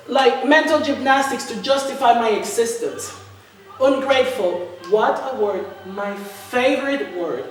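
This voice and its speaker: A woman reading a spoken-word political manifesto with emphatic, sarcastic delivery and repeated refrains. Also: sits high. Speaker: writer reading own spoken-word text. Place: large reverberant indoor art venue. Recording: echoey hall from far off, faint noise.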